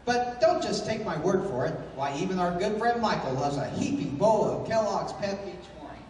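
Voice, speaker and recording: High-voiced radio announcer man in echoing hall. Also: expressive, moderate pace, a little mellow. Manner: theatrical, hammy radio announcer delivery. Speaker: announcer and narrator. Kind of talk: 1930s radio serial narration and sponsor pitch. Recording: echoey hall from far off, noisy.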